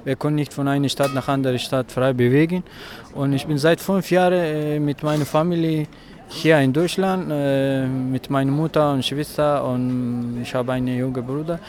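A man's voice: medium pitch, steady tone, normal speed, darkish tone. A young man from Afghanistan speaking earnestly and hesitantly in a street interview at a protest. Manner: earnest, hesitant, searching for words. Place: outdoors on a city street.

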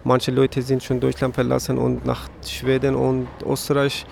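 A man speaking gravely and hesitantly at a protest, often filling pauses with "also". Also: mid-range voice, completely flat delivery, a little hiss or hum. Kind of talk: street interview. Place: outdoors on a city street.

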